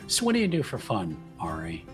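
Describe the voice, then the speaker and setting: A man's voice: low-pitched, wildly swooping pitch, brisk, over music, voice pushed down. Man playing a easygoing short tavern charmer. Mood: flirty, easygoing. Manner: friendly, informal, repeats himself.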